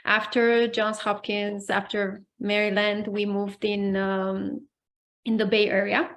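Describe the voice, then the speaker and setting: A woman from Romania in her forties, a coach who is a guest on a video call. She speaks at a middling pitch in a friendly, chatty manner. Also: level tone, takes it slowly, fairly bright tone. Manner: friendly, chatty, laughs easily. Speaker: guest, personal development coach. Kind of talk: interview answers.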